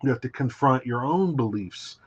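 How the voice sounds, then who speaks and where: A man's voice: mid-range voice, animated, moderate pace, breathy edge. A man who is a guest on a podcast, talking about his writing and retelling stories in detail, often saying "you know". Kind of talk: casual interview talk. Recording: dull lo-fi audio.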